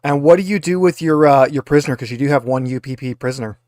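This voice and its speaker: A man acting as game master, narrating a tabletop game in a halting, conversational way as he explains things. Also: mid-range voice, a little mellow, no echo, clean studio sound.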